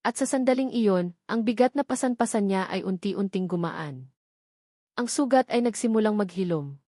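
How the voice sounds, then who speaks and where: A woman with a medium-pitched voice narrates a scripted romantic drama. She tells it in a measured, solemn way. The recording is dry, with no room sound. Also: animated, dark tone, no echo.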